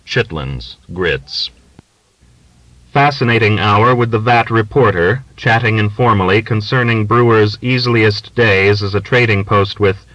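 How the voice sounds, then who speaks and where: Male narrator, literary audiobook reading, expressive, deliberate, bright forceful tone, close dry recording. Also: on the low side, dry recording, poor audio.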